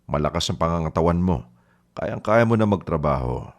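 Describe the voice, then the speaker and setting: An actor playing a gentle, apologetic middle-aged father who has fallen ill, speaking earnestly. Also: deep voice, expressive, moderate pace. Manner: earnest, pleading. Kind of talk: radio drama acting.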